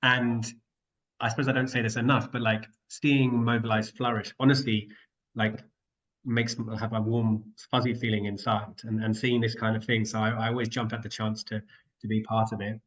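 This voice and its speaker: A man's voice: lowish voice, little pitch movement, moderate pace, a bit bright, dull audio. Nasal man with a cold on a call. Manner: rambling, self-interrupting, apologetic. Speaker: guest carer and co-founder. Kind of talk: casual online panel chat. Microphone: video call.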